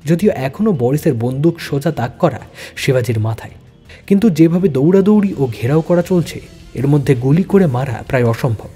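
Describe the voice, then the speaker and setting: Mellow, reverberant male story narrator. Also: on the high side, animated, brisk, husky. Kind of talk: dramatic scripted story narration. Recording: long reverb, background music.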